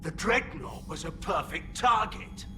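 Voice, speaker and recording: Alien admiral, higher-pitched man's voice, defiant, proud, clipped, video game, music behind. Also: very animated, unhurried. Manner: proud, clipped, self-justifying. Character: defiant, hawkish male alien fleet admiral.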